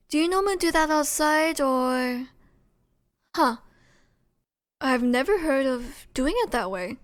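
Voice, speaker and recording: A woman voicing a friendly, eager, ghostly alien on a speed date in an audio roleplay, brisk and earnest. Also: high-pitched, natural voice. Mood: intrigued, eager. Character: friendly, eager, sweet semi-tangible female ghostly alien.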